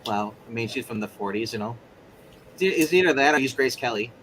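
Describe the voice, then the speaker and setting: Animated man chatting through a tabletop game. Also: medium pitch, quick pace, a little hiss or hum, low-quality recording. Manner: animated, joking, rambling. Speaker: player narrating in a roleplay game. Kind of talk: casual tabletop game chat.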